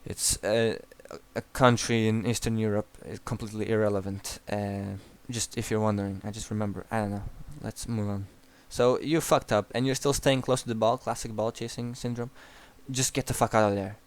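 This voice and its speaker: A man's voice: lowish voice, fairly even intonation, moderate pace, hardly any pauses. A young man with a Croatian accent, bluntly coaching a player through a game replay with casual swearing. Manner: blunt and profane, frequent restarts. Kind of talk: replay analysis commentary.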